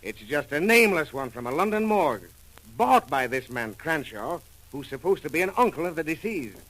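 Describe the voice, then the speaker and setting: A brisk American detective of 1940s radio drama, sure of his hunches. His voice sits on the high side and is bright and forceful, with lively swings of pitch. He talks in clipped, slangy hard-boiled phrases. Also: low-quality recording. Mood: satisfied, explaining.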